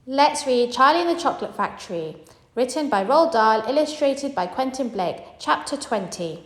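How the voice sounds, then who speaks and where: A woman reads a children's storybook aloud with lively, swooping intonation. Her delivery is theatrical and expressive, and the recording carries a long hall-like reverb. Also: sits high, moderate pace. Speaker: storybook reader. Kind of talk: expressive read-aloud narration of a children's book.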